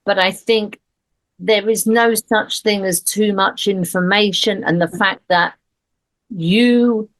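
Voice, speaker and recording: A woman hosting a podcast interview, speaking in a measured, empathetic way. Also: mid-range voice, steady tone, no room sound, poor audio. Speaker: podcast host. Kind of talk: conversational interview.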